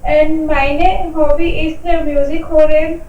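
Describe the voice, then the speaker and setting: Young woman learner, hindi native, stumbling slow german, muffled echoey call. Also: high-pitched, level tone, recorded from a distance. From Rajasthan, India. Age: 20s. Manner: halting, many hesitations. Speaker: language student. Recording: dull echoey call audio from afar.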